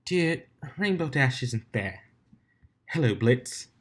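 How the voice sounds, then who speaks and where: A man's voice: mid-range voice, animated, slow, voice pushed up. A man voicing a refined, ladylike female cartoon unicorn with a genteel, poised manner in a fan story reading. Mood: gently correcting.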